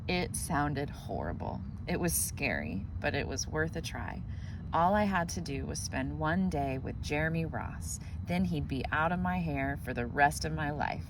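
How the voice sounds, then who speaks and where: A woman reading a children's picture book aloud in a gentle, expressive way. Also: deep voice, a little slow, noisy background. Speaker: storybook reader.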